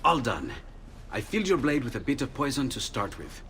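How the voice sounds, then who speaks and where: An actor voicing a cheerful, curious inventor in a video game, speaking in an eager, chatty way. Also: male voice, mid-range voice, sing-song, a little fast, fairly bright tone, slight background noise. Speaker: inventor friend.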